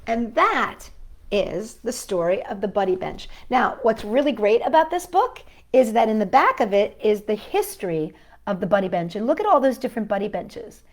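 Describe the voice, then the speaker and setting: Breathy woman reading to children. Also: mid-range voice, sing-song, normal speed. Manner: gentle, chatty, asks the young viewers questions. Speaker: children's storytime host. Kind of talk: reading a picture book aloud to children.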